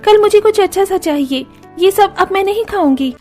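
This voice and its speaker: A woman's voice: extremely high pitch, expressive, moderate pace, darkish tone, faint music behind, voice pushed up. A woman voicing a spoiled, demanding college girl in a cartoon story, whining and fussy. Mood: whiny. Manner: whiny, demanding. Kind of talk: Hindi cartoon story dialogue.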